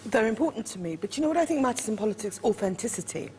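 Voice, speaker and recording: A woman's voice: fairly high pitch, big pitch swings, rapid-fire, a little mellow, slight background noise. A woman politician on a talk panel, speaking in a direct, emphatic way.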